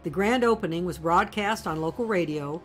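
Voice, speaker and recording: A woman giving scripted documentary narration in a measured, storytelling delivery. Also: on the low side, faint music behind.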